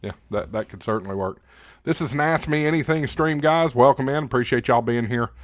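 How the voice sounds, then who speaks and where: A man's voice: mid-range voice, a little fast, no echo, clean studio sound. A man hosting a casual live Q&A, reading out viewer comments and answering in a folksy, plain-spoken way. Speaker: livestream host.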